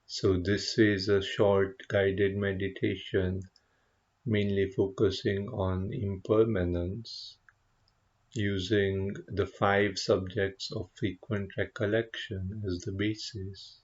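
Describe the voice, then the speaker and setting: A man with a fairly low voice guides a meditation at a very slow pace. He is gentle and contemplative, leaving space between short phrases. The recording is slightly dull and muffled. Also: level tone. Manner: gentle, unhurried, contemplative, with pauses. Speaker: meditation teacher, Buddhist monk.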